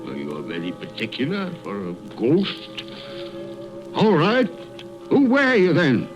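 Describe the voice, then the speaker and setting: A man's voice: a little high, huge pitch swings, a little slow. An actor playing a miserly, cold-hearted old businessman, skeptical and fearful, in a theatrical, blustering radio drama style. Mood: alarmed. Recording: dull lo-fi recording with music behind.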